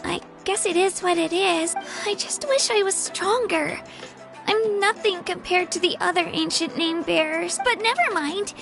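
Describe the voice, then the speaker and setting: A woman's voice: very high voice, unhurried, creaky, exaggerated voice. A woman playing an earnest, shy but plucky young girl warrior in a video game, sweet, polite and hesitant. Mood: downcast, brave. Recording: music behind the voice.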